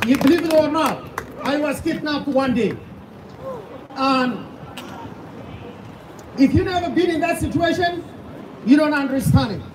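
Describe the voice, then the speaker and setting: A man in his thirties with a Sudanese accent, a pastor giving a fervent rally speech full of rhetorical questions and sometimes shouting. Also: very high-pitched, animated, unhurried, long pauses. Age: 30s. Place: outdoor rally. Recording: distant, echoey outdoor sound with loud background noise.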